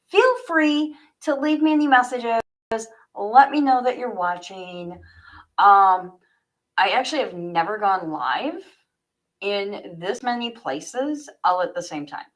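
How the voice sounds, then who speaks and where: A woman livestreaming as an author, chatty and informal as she speaks straight to her viewers. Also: medium pitch. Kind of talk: casual livestream chat, then reading own fiction aloud.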